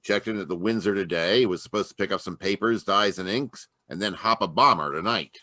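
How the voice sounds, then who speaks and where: A harried police inspector voiced by a man in an old-time radio mystery, blunt and agitated. His low voice has a forceful edge, and the sound is dry and close. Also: brisk, dry recording. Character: harried middle-aged male police inspector. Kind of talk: 1940s radio acting.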